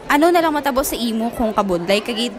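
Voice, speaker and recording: A woman's voice: on the high side, lively intonation, fast, natural voice. A woman with a Filipino accent acting a bossy schoolgirl bully in a student radio drama. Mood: sneering, taunting. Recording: crowd chatter behind the voice.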